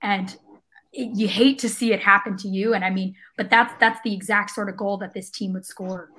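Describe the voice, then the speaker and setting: A woman's voice: mid-range voice, fairly even intonation, moderate pace, sharp tone, slight background noise, rough recording. A woman giving casual match analysis as a panelist on a soccer podcast.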